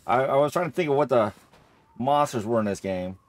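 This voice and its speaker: A man's voice: medium pitch, brisk, dry recording. A man giving casual Let's Play commentary on a horror game, rambling and thinking aloud as he plays, often repeating himself. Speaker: gamer narrating a horror game playthrough.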